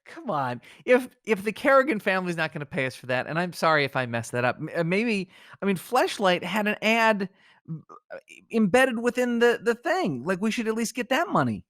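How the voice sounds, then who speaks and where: A man with a fairly high voice co-hosts a comedy podcast. He trades banter and reads aloud with mock solemnity. The sound is close and dry, with very little room in it. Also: sing-song, normal speed, a bit bright, no room sound.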